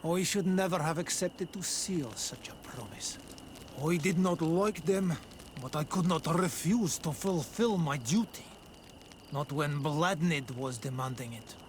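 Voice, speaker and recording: Man acting as slow-spoken, bitter native stone engraver. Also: fairly high pitch, a little hiss or hum, lightly acted. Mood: solemn. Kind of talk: video game cutscene acting.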